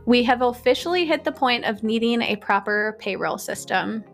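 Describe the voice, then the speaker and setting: Woman co-host, higher pitch, gravelly fry, upbeat and direct, faint music behind. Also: animated, moderate pace. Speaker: podcast co-host. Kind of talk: rapid-fire podcast tips.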